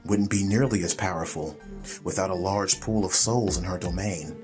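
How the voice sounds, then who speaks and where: A man narrating a scripted audiobook story in a measured, deliberate, dramatic storytelling style. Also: deep voice, fairly even intonation, over music.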